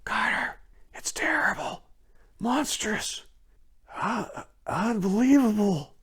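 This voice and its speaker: A terrified man in a gothic horror tale. His voice is shaky and hoarse, pushed high and swinging wildly with fear. He pleads in frantic, broken exclamations. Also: animated, slow, fairly bright tone, exaggerated voice. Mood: horrified whisper. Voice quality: shaky, hoarse, whispery to shrieking. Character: terrified, desperate man calling from a tomb below. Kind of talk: dramatic horror story reading.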